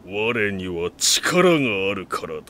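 An actor playing a proud, iron-willed warlord in an audio drama, speaking in solemn, imperious, archaic grand speech. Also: male voice, lowish voice, big pitch swings, a little slow, sharp tone, a little hiss or hum, exaggerated voice. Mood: resolute. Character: proud, iron-willed male warlord bent on remaking the nation. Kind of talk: Japanese audio drama acting.

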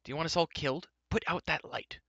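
A man's voice: mid-range voice, normal speed, bright, forceful tone, no room sound, highs cut off. A man voicing an earnest, hot-tempered young hero in a story, blunt and scolding. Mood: alarmed. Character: hot-tempered, earnest youth, a lowly pig keeper on a quest.

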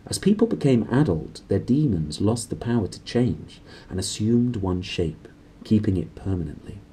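A man in his thirties reading a children's novel aloud with animated, dramatic emphasis, recorded in a small room. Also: lowish voice, moderate pace, slight background noise. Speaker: storybook reader. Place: small cluttered room indoors.

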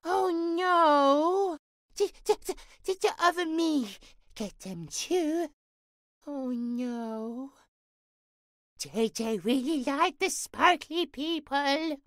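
A woman voicing a giddy, anxious, childlike imp with a squeaky voice and stammering, babyish speech in a horror audio roleplay. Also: very slow, no room sound, studio quality. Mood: sad, fearful. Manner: stammering, babyish wording, theatrical swoops.

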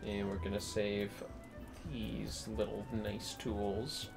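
A man's voice: lowish voice, flat delivery, slow, creaky, over loud music. A man hosting a casual gaming livestream from home, murmuring to himself and tossing playful asides to his viewers. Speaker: livestreamer, host. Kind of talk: casual gaming livestream commentary, talking to chat. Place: indoors at home.

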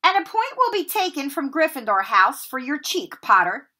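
A woman's voice: high voice, big pitch swings, moderate pace, bright, forceful tone, voice pushed up, full character voice. A woman reading aloud and voicing a cold, sneering male teacher who snaps at his pupils. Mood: lecturing. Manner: snapping, sneering, harsh.

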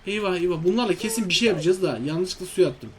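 A man's voice: sits high, animated, fast, crowd noise. A man giving casual, chatty, exclamatory let's-play commentary to his viewers, often asking himself questions. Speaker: gaming video host.

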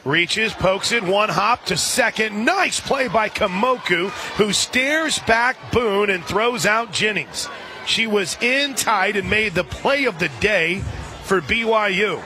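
A man working as a radio play-by-play announcer, calling a live softball game. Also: sits high, animated, takes it slowly, over music. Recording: outdoor stadium crowd noise behind. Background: ballpark crowd and outdoor ambience.

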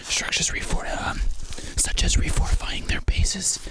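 A man whispering a casual, rambling, mock-spooky ASMR narration as he walks outdoors, recorded on a handheld shotgun mic. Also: unhurried. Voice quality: breathy whisper. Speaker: vlogger and ASMR creator. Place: outdoors in the woods, walking. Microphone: handheld shotgun microphone.

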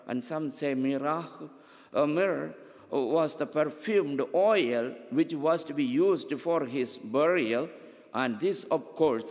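A middle-aged priest gives a sermon at a pulpit microphone, his fairly high voice carrying into the long reverb of a big church. He talks slowly and deliberately, circling back to repeat key phrases. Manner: slow, deliberate preaching with frequent repetitions. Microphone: pulpit microphone, far camera.

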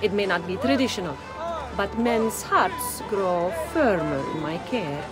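An actress playing a serene, sly, self-assured courtesan nun in a video game. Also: female voice, mid-range voice, expressive, a little slow, a crowd in the background, lightly acted. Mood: serene, seductive. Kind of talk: video game cutscene acting. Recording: loud music behind.

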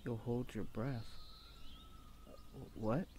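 A man's voice: mid-range voice, fairly even intonation, very slow, mellow. Guy voicing a shy flustered nerdy college boy, stammers a lot, asmr roleplay, birds and outdoor noise behind. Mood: bashful, flustered. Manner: stammering, hesitant, awkward, earnest. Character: shy, flustered, bookish young male university student. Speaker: voice actor. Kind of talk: ASMR boyfriend audio roleplay.

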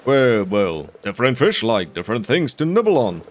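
A man's voice: medium pitch, sing-song, moderate pace, lightly acted. A man voicing a patient, easygoing fishing expert who explains things in a video game. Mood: easygoing, instructive. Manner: instructive, easygoing. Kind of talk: voiced video game dialogue.

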